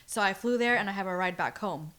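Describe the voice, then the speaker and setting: A young American woman telling stories in a casual, slangy, excitable way as a podcast guest. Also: mid-range voice, fast talker. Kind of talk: casual storytelling chat.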